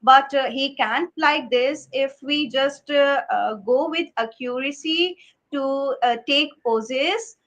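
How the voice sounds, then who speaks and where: A woman's voice: high-pitched, slow, fairly bright tone, highs cut off. A woman, hosting a live stream interview over a video call, talking hesitantly with many fillers. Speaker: host.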